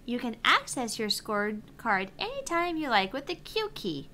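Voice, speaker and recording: A woman's voice: fairly high pitch, very animated, moderate pace, bright, forceful tone, a little hiss or hum. A woman voicing a perky, reassuring female app assistant, reading game dialogue in a chirpy, encouraging, playful manner. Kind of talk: reading visual novel game dialogue aloud.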